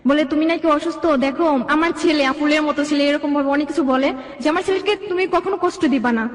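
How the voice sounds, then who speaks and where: High-pitched young woman, rapid storytelling, echoey. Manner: run-on, detailed retelling with reported speech. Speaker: guest telling own life story. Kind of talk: conversational personal storytelling, quoting dialogue. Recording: echoey, reverberant sound.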